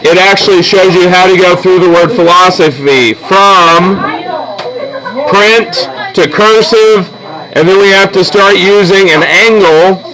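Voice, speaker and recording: A man with a fairly high, very bright voice works as an art teacher. He explains drawing step by step to a class, casually, and keeps checking in with his listeners. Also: steady tone, unhurried, rough recording.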